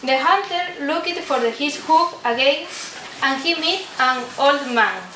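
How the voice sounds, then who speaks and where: A teenage young woman reads a story haltingly from a script. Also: high-pitched, sharp tone. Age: teens. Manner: halting, stumbling reading. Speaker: student narrator of a puppet show. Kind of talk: scripted story read from paper. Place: indoor room at a day care centre. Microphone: distant camera mic. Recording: echoey room, distant noisy camera pickup.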